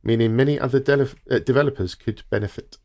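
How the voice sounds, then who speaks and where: A man's voice: medium pitch, quick pace, darkish tone. A man co-hosting a podcast, informally reading out gaming news items to the others.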